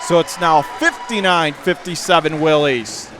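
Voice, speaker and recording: High-pitched male basketball play-by-play announcer. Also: measured pace. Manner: clipped, urgent phrases rising to excited calls. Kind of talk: live basketball play-by-play commentary. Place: indoor high school basketball gym. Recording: game crowd and gym noise behind.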